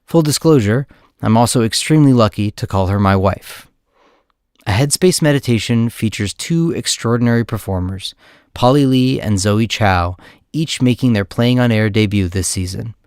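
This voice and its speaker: A male host reads a prepared introduction to an audio play. His voice sits a little low and is recorded dry and close. The delivery is measured, with each item clearly phrased. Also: darkish tone, no echo. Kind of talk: scripted introduction, read aloud.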